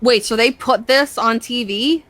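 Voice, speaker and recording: A woman's voice: on the high side, unhurried, a bit bright. A woman co-hosting in a casual reaction chat, informal and inquisitive, with loose profane language. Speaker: co-host reacting to videos.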